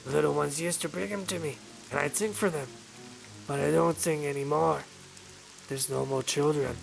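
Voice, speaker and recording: A man voicing a mournful, lonely villager in a fantasy game, creaky and bleak, speaking in terse phrases. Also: on the high side, fairly even intonation, moderate pace, soft background music, poor audio. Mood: wistful. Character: mournful, lonely villager haunted by lost children. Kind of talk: acted fantasy game dialogue.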